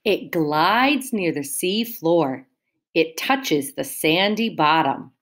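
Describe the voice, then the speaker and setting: A woman teacher reads a picture book aloud to young children, pausing to explain new words and ask them questions. She speaks slowly and patiently. Her voice swoops up and down in a lively, sing-song way. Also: low-pitched.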